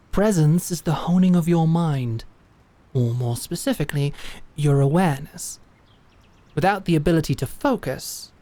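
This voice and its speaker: A woman's voice: low voice, mellow. A woman playing a dry, wry knight mentor. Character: stern, teasing female knight and sword master. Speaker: sword instructor. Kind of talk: fantasy audio drama acting.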